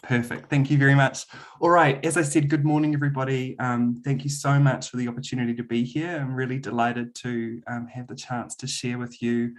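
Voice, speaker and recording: A man's voice: mid-range voice, level tone, a little fast, few pauses. New Zealand man lecturing on a video call. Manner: friendly, frequent "um" fillers. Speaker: university lecturer. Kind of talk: webinar presentation.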